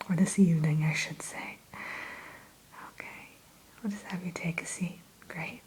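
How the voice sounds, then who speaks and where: Softly whispering female train attendant played by a woman. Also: mid-range voice, breathy edge, low-quality recording. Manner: polite, accommodating, softly chatty. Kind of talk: whispered ASMR roleplay. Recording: faint background hiss.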